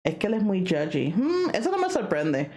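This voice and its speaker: A woman streamer with a playful, animated manner, reading a visual novel aloud with casual asides. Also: medium pitch, a little fast.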